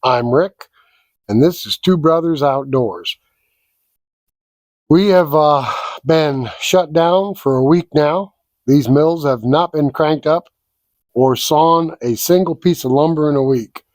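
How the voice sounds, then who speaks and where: A man hosting a sawmill vlog, congested from a cold, explaining things in a slow, folksy, down-to-earth way. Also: fairly high pitch, darkish tone, dry recording. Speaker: sawmill owner and channel host. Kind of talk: casual outdoor vlog walkthrough. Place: wood shed at a sawmill.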